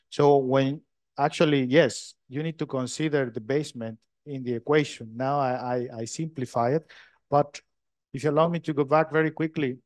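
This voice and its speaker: A man from Chile with a Chilean Spanish accent, answering audience questions after a lecture, halting and restarting often. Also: mid-range voice, darkish tone, no echo. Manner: halting, many restarts, non-native phrasing. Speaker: lecturer in structural design.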